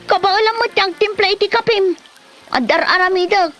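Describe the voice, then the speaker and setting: Scolding, fed-up Ilocano wife played by a woman, comedy drama, high-pitched, reproachful delivery. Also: moderate pace, fairly bright tone, faint music behind. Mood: irritated. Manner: nagging, reproachful. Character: exasperated, scolding wife of a lazy drunkard.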